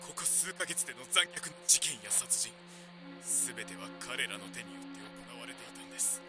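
A man's voice: mid-range voice, expressive, a little fast, lightly acted. A man voicing a grim, vengeful prime minister. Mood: grim resolve. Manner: formal speech-making, grim. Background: background music.

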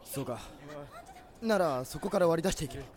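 A brusque male cop played by an actor in a Japanese voice drama. He speaks in curt, commanding phrases with a slightly high male voice. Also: expressive, normal speed, a little mellow, a faint crowd, close to an everyday voice. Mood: serious. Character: brusque male police officer guarding crime scene. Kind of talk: Japanese amateur voice drama acting.

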